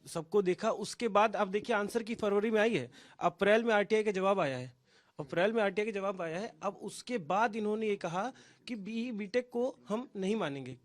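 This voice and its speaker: A man, complaining insistently and pleadingly in a news interview, repeating himself. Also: sits high. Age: 20s. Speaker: job candidate interviewed by news. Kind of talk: impassioned spoken complaint to reporter.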